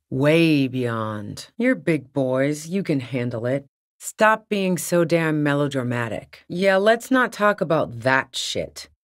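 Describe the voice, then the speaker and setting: An actress playing a tough, wary, sardonic woman on the run in a video game, speaking bluntly. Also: sits low. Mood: dry, taunting. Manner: blunt, sardonic, foul-mouthed. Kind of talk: video game character dialogue.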